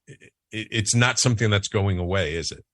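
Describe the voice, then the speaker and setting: A man hosting a talk show, animated, with frequent stammers and restarts. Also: lowish voice, moderate pace, no echo, clean studio sound. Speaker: host.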